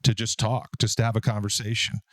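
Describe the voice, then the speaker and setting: A man in his forties with a deep baritone voice, hosting a casual podcast and telling stories in a relaxed way. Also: brisk, dry recording, clean studio sound. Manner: relaxed, storytelling, frequent fillers. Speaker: podcast host and business owner. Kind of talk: casual conversational podcast talk.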